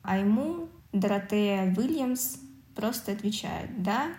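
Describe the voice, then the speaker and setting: Mellow-voiced woman in an echoey room. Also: mid-range voice, animated, slow pace. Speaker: podcast co-host. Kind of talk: casual film discussion. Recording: roomy, echoing sound.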